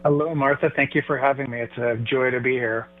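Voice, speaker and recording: A man's voice: mid-range voice, fairly even intonation, fairly quick. A man calling in as a radio guest, telling stories in a friendly way. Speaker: guest caller. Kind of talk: casual radio interview. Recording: tinny phone line with faint music.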